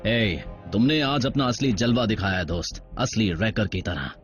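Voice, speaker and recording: A man's voice: lowish voice, animated, moderate pace, close to an everyday voice. A man playing a brash, loyal robot soldier in a cartoon dub, with a cocky, wry manner. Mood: proud, warm. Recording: dull audio, music behind.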